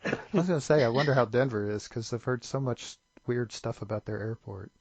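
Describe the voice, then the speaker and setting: A man's voice: mid-range voice, brisk, breathy edge. A man co-hosting a podcast in casual, conversational chat. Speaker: podcast co-host.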